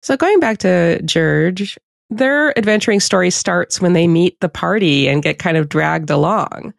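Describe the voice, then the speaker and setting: A man's voice: sits high, expressive, a little slow, vocal fry, no echo, clean studio sound. A man in his forties interviewing a guest in a casual, conversational way. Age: 40s. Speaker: podcast host, interviewer.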